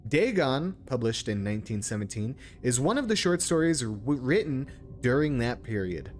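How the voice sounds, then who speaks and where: Lively male game streamer. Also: mid-range voice, normal speed, soft background music. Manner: informal, stumbles when reading aloud. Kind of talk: casual livestream commentary and reading aloud.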